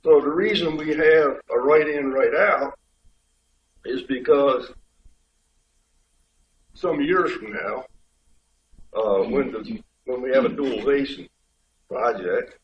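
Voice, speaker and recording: A man with a head cold, a state highway official explaining things step by step to a public board meeting. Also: a little hiss or hum. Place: community board meeting room. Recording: dull, echoey room pickup from far off.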